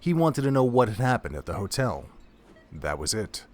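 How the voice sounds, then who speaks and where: A man's voice: on the low side, big pitch swings, moderate pace, soft background music. A man reading scripted third-person narration as the storyteller of an audio drama.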